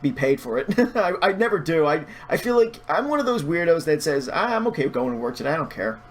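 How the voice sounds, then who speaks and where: A man's voice: fairly high pitch, very animated, fast, a little hiss or hum. A man chatting casually as a podcast guest, stammering a little and often restarting his phrases. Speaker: podcast guest, online video creator and fan dubber.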